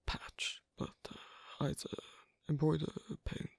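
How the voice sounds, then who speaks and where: A performer voicing an asocial, reticent werewolf in an ASMR roleplay, speaking haltingly in a breathy, husky half-whisper. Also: no echo, studio quality, natural voice. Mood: quietly explaining. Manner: halting, hesitant, low-key. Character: asocial, reticent young werewolf on a sewing date.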